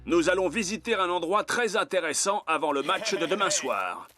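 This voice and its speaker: A man voicing a reassuring, seasoned football coach in a French cartoon dub, measured and instructive. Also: high voice, lively intonation, pushed and bright, soft background music. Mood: encouraging.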